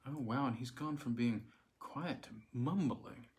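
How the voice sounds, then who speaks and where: A man voicing a hushed onlooker who muses wryly about a silent man, half-whispering in a mock-puzzled way. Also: medium pitch, unhurried, lightly acted. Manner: wry mock-puzzled musing. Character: hushed male onlooker commenting on a quiet man.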